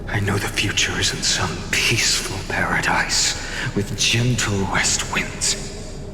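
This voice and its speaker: An actor playing a solemn, world-weary hero on a grim quest in a video game. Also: male voice, lowish voice, slow. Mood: weary, resolute. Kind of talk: dramatic video game acting. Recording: long echoing reverb under music.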